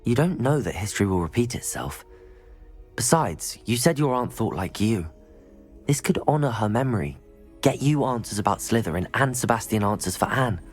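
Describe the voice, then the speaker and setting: A man's voice: on the low side, expressive, moderate pace, faint music behind, lightly acted. A man playing a curious, persuasive young wizard student in a game, earnest and polite. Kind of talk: scripted game cutscene acting.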